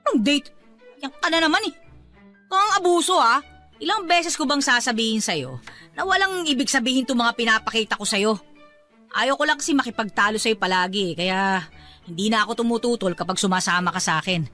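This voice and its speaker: A woman's voice: on the high side, lively intonation, brisk, bright, forceful tone, faint music behind. An actress playing a feisty young mother in a Filipino radio drama, scolding and defensive about her feelings. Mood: exasperated.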